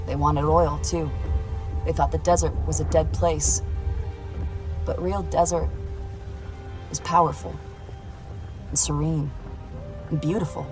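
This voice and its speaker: An actor playing a weary, reflective pilot from a desert homeland, calm and frank, in a video game cutscene. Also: male voice, on the high side, measured pace, long pauses, close to an everyday voice, low-quality recording. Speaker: game character. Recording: game cutscene with loud music.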